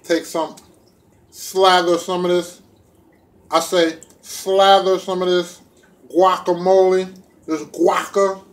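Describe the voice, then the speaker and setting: Higher-pitched man chatting casually over food. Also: little pitch movement, slow pace, pauses often. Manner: laid-back, playful, colloquial. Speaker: vegan food vlogger. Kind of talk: casual chat to camera while eating. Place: small room indoors at home. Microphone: phone or camera propped within arm's reach.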